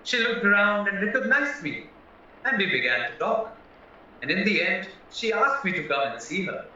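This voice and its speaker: A man's voice: high-pitched, big pitch swings, moderate pace, sharp tone, a little hiss or hum, full character voice. A man playing a naive, chatty, polite young husband under suspicion in an audio play, earnestly explaining himself. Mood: candid, chatty. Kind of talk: stage-style audio play acting. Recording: very echoey, distant, muffled, music behind.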